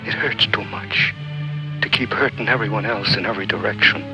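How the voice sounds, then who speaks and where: An actor playing a bitter, world-weary soldier in a mid-century film drama, speaking intensely and bluntly. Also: male voice, fairly low pitch, fairly even intonation, a little fast, natural voice. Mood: anguished, brooding. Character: brooding, bitter male soldier Mac, set on a last mission. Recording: muffled old film soundtrack with music.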